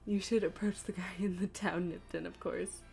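A woman's voice: fairly low pitch, steady tone, moderate pace, gravelly, warm tone, husky, faint music behind. A woman streaming a game, giving casual, self-deprecating commentary as she rambles and thinks aloud. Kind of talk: casual live gameplay commentary, reading chat aloud.

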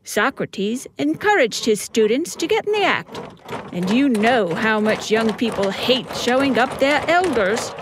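High-voiced, animated woman narrator. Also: unhurried, background noise. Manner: wry, theatrical. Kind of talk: scripted comic narration. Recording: loud music behind the voice.

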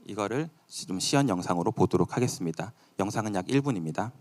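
A man's voice: fairly low pitch, animated, fast, darkish tone. A man giving a live product demo at a company event in a conversational way. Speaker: presenter at a company tech event.

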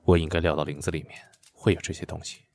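A man playing a taciturn young swordsman who has lost his memory, speaking in terse, flat lines. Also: sits low, fast, vocal fry. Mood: calm, terse. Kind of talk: fan audio drama acting.

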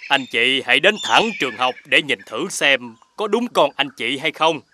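A man voicing a helpful, neighborly animal father in an animated fairy tale. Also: medium pitch, normal speed, noisy background, lightly acted. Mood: helpful, concerned.

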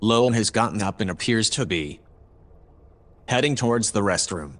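An actor playing a polite, precise AI observer in an audio drama, speaking in a formal, measured way. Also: male voice, lowish voice, expressive, close to an everyday voice. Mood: calm, formal. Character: polite, precise male AI observer aboard a spaceship.

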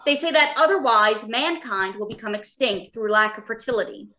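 A woman playing an earnest, uneasy doctor of robot science in a radio drama, deliberate and emphatic. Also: a little high, animated, pushed and bright, slight background noise. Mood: resigned, grave. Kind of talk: old-time radio drama acting. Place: home, remote video call.

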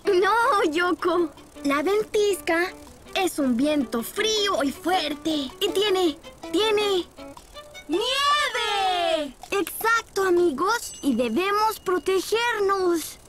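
A woman's voice: extremely high pitch, animated, extremely slow, loud music behind the voice, exaggerated voice. A woman voicing a cheerful, helpful little boy in a preschool cartoon, explaining things step by step in a friendly way. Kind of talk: Spanish-dubbed preschool cartoon acting.

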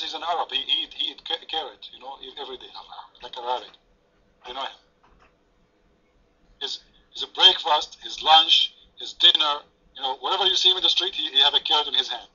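A man's voice: fairly high pitch, sharp tone, slightly rough. A man whose first language is French, moderating an online debate with sarcastic, taunting remarks. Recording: lo-fi voice chat audio.

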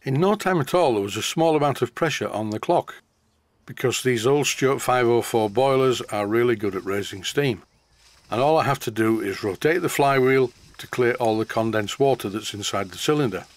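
A man's voice: mid-range voice, moderate pace, no room sound. A middle-aged man from England with a Yorkshire accent, narrating his model steam engine video in a plain, explanatory way. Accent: Yorkshire English. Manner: matter-of-fact, explanatory. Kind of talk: voice-over narration of a workshop video.

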